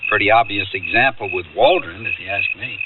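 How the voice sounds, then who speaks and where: A man's voice: lowish voice, fairly quick, recorded from a distance, close to an everyday voice. An actor playing a skeptical, gossipy male friend with a knowing, casual manner in a radio drama. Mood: skeptical, amused. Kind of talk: old-time radio drama acting. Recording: noisy, tinny old radio recording.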